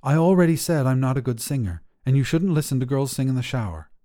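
An unimpressed young woman from a short story , read by a man, curt and skeptical. The voice is mellow, with a dismissive manner and moving pitch. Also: lively intonation, fast, natural voice.

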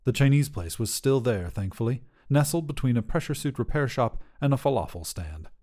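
A man's voice: medium pitch, expressive, brisk, warm tone. A man reading a scripted short story as a podcast narrator.

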